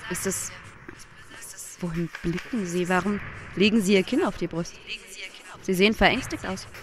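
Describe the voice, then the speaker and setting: A woman's voice: lowish voice, moderate pace, a little hiss or hum, close to an everyday voice. A woman acting in a surreal radio play as a dreamy, inquisitive figure, theatrical and questioning in her delivery. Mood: concerned, probing. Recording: loud music bed behind the voice. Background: music.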